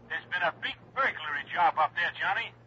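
A fussy, stammering American insurance man in an old radio drama. His voice is high and piercingly sharp, squeezed through a telephone filter with some faint noise. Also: animated, a little fast, lo-fi sound. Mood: urgent, excited. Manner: fussy, stammering, breathlessly insistent. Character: fussy, excitable, rambling insurance man on the phone. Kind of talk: 1960s radio drama acting. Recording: thin, noisy old radio phone effect.

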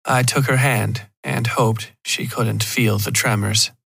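A man's voice: mid-range voice, steady tone, slow pace, creaky, a bit bright, husky, no echo, clean studio sound. A man reading scripted horror fiction as the narrator of an audio story. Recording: prominent music under the voice.

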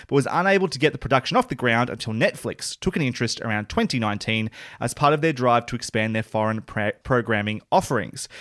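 A man's voice: fairly high pitch, a bit bright, dry recording, studio quality. A man co-hosting a podcast in casual chat, swearing often and self-deprecating. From Australia. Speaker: podcast co-host.

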